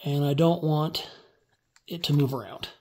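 A man walking through a hands-on build step by step in a relaxed, casual tutorial, filmed on a phone over a workbench indoors. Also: on the high side, a little slow. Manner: relaxed step-by-step explaining while working. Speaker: DIY tutorial maker.

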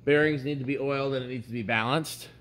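A man's voice: mid-range voice, fairly even intonation, fast, fairly bright tone. A man giving a casual, unscripted tour, explaining technical details as he goes. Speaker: tour guide showing his fan collection. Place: inside a wooden barn. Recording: handheld recording with background noise.

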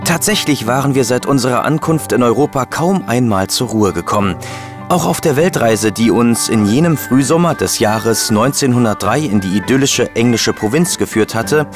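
An actor playing a put-upon, obliging and wry assistant and chronicler in a scripted radio drama. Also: male voice, medium pitch, close to an everyday voice.

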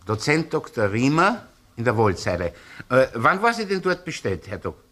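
An actor playing a gruff, shrewd senior police inspector with a Viennese accent in a crime drama, sardonic and probing. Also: male voice, medium pitch, big pitch swings, normal speed, fairly bright tone. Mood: courteous, inquisitive. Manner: sardonic, interrogating, hesitant muttering between questions. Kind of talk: naturalistic crime radio or TV drama acting.

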